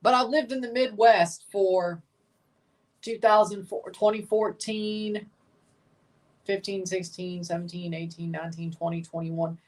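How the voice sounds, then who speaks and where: A man's voice: high-pitched, steady tone, measured pace, far from the mic, poor audio. A man from Mississippi with a Southern accent who hosts a livestream and chats casually with viewers in a laid-back, rambling way. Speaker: livestream host reacting to a match. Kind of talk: casual livestream chat, answering viewer comments.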